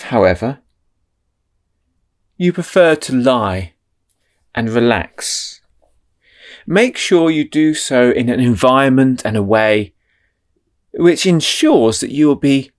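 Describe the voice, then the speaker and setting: Male meditation guide: unhurried, calming delivery with a melodic, rising-and-falling voice. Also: mid-range voice. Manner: slow, soothing, drawn-out phrasing.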